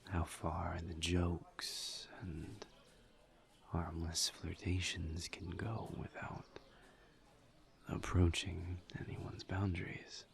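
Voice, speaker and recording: A man playing a shy, polite incubus student in an intimate audio roleplay, speaking softly and haltingly. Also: sits low, level tone, creaky, heavily acted. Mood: careful, earnest. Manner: hesitant, halting, awkward small talk. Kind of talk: audio roleplay acting, intimate one-sided conversation.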